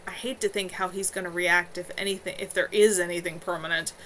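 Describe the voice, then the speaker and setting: A woman's voice: lowish voice, fairly even intonation, fairly quick, a bit bright, close to an everyday voice. A woman voicing a frank, caring woman healer in a storybook reading. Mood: measured concern. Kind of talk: amateur storybook dialogue reading. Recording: noisy home livestream audio.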